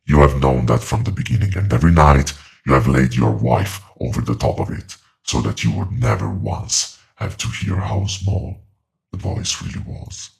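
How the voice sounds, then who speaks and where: An ancient being from beyond speaks in a cavernously low register with heavy vocal fry. It delivers ominous horror-drama pronouncements slowly and deliberately, solemn, relentless and coldly knowing. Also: male voice, expressive, exaggerated voice. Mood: relentless, accusing. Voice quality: very deep, gravelly creaking voice. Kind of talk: horror audio drama monologue.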